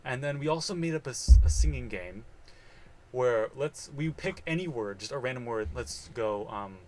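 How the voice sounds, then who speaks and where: A young man co-hosting a podcast, easygoing and quick to laugh. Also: medium pitch, expressive, warm tone, slight background noise. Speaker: podcast co-host. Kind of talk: casual chat.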